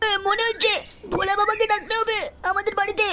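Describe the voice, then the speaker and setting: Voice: very high-pitched, flat delivery, quick pace, pushed and bright. An actor playing an anxious, whiny young village boy in a cartoon, fretful and full of exclamations. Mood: worried. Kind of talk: Bengali cartoon acting.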